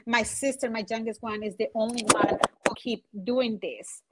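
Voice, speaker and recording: A woman's voice: fairly high pitch, steady tone, heavy background noise. A woman in her forties from Chile with a Chilean Spanish accent, speaking English as a second language and searching for words as an interview guest. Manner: halting, searching for words, frequent fillers. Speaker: guest entrepreneur. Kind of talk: casual interview.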